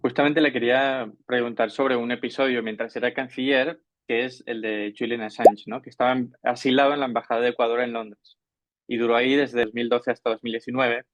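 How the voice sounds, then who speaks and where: Male interview host, medium pitch, dry and slightly muffled lo-fi sound. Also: little pitch movement, a little fast, no room sound. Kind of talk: interview introduction and questions.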